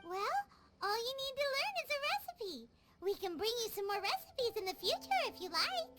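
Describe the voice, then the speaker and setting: An extremely high, squeaky little fairy sidekick from a game. The delivery swings animatedly up and down, chirpy and excitable, with every point emphasized. Prominent music plays underneath. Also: female voice, normal speed. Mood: cheerful. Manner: chirpy, emphatic, refers to self in third person. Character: small floating fairy companion, childlike, excitable, bubbly food lover. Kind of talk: game cutscene voice acting.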